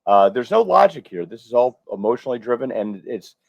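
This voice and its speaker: A man's voice: medium pitch, big pitch swings, brisk, vocal fry, no echo. A man giving casual, opinionated commentary as a panelist, recorded on a lo-fi microphone. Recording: dry, dull lo-fi microphone.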